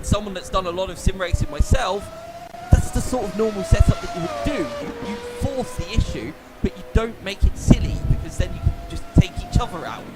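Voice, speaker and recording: A man with a high, lively voice calls a sim race, a little nasal and posh in sound, chatting through racing lines as he goes. Loud engine roar and music sit under his voice, and his pitch swings up and down freely. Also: a little fast. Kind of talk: live race commentary.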